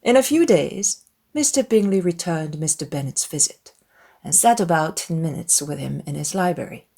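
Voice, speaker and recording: A woman narrates a classic novel as a dramatized audiobook. Her voice sits a little low, and she tells the story expressively, in measured phrases. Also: lively intonation, dark tone.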